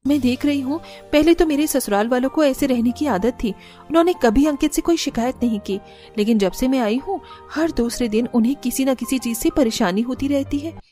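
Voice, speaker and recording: Woman as a high-voiced, sensible young daughter-in-law. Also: a little fast, dark tone, faint music behind, natural voice. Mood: firm, reflective. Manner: earnest, reasoning. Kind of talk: Hindi cartoon story dialogue.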